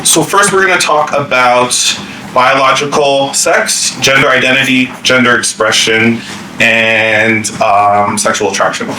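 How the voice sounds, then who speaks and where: Male workshop presenter, bright voice, loose informal delivery, picked up from a distance in an echoey room. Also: medium pitch, a little slow, a little hiss or hum. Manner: informal, many fillers and restarts. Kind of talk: staff training presentation. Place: meeting room.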